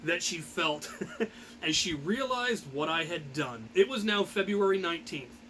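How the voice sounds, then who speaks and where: A man telling a personal anecdote as a storyteller, in an animated, theatrical and grandiose way. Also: on the high side, a little slow, a little hiss or hum, poor audio. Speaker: storyteller and host.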